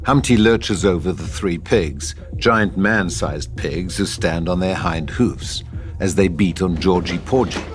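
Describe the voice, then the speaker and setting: Low-voiced man narrating a script. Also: unhurried, over music. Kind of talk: scripted narration of stage directions.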